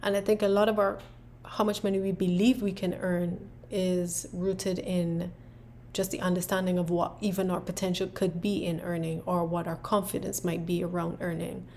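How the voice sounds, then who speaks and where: Barbadian woman presenter, lowish steady voice, relaxed explainer with "right?" tags. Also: normal speed, a little hiss or hum. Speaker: planner creator and presenter. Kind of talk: casual explanatory walkthrough.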